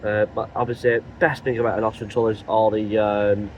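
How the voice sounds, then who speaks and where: A man with a cold and a croaky voice chats rambling and casually in his truck cab as he drives. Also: fairly low pitch, little pitch movement, brisk, a little mellow, slight background noise, low-quality recording. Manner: rambling, chatty, many restarts. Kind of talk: casual vlog chat while driving.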